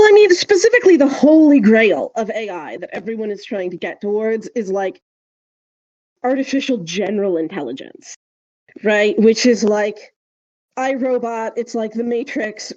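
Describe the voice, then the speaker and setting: Woman, fairly high pitch, soft dark tone, casual rambling co-host, slightly dull sound. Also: dry recording. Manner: rambling, informal. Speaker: podcast co-host. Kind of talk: casual conversational podcast chat. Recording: slightly dull, dry recording.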